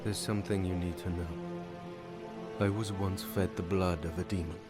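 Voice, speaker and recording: An actor playing a burdened, somber sorcerer hero in a video game, speaking earnestly and heavily. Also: male voice, low-pitched, fairly even intonation, moderate pace, natural voice. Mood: troubled confession. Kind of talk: dramatic video game cutscene acting. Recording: loud music under the voice.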